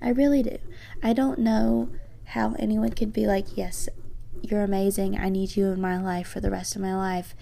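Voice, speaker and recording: A teenage girl hosting a personal podcast, speaking softly and reflectively with a low voice, reading from her journal. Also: flat delivery, normal speed, vocal fry, a little hiss or hum. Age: teens. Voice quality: low voice for a woman. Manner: soft-spoken, reflective, slightly hesitant. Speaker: podcast host.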